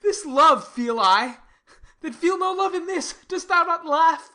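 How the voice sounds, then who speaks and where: A woman's voice: very high-pitched, animated, unhurried, pushed and bright, heavily acted. A woman playing a lovesick, brooding young nobleman in a dramatic Shakespearean reading, with a sighing, melodramatic delivery. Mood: anguished, passionate.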